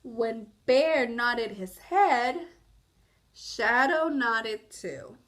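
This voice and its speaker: A woman's voice: a little high, drawn-out words, fairly bright tone. A woman reading a picture book aloud to young children, stopping to explain and ask questions. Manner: explanatory, asks listeners questions. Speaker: children's storybook reader.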